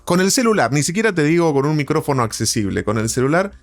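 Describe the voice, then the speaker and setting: A man's voice: on the high side, very animated, quick pace, soft background music. A man with an Argentine accent hosting a podcast interview in a conversational style.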